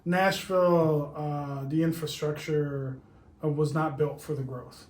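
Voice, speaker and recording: A man guesting on a casual podcast, talking in a halting way with many fillers and restarts. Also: on the high side, steady tone, a little mellow. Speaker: guest, agency owner. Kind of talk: casual conversation. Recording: echoey room with faint noise.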